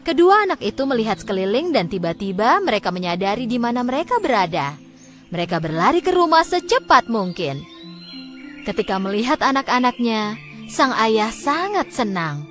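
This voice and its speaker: Female storyteller for children's fairy tales: fairly high voice, very lively intonation, expressive scripted narration. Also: moderate pace, soft background music. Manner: expressive, dramatic storytelling delivery.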